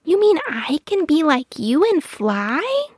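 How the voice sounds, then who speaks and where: A woman's voice: high voice, very animated, slow, no room sound, clean studio sound, pitched above the performer's own voice, exaggerated voice. A woman voicing a timid, earnest young female baby owl with a hesitant, stammering delivery in a storybook reading. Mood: wondering.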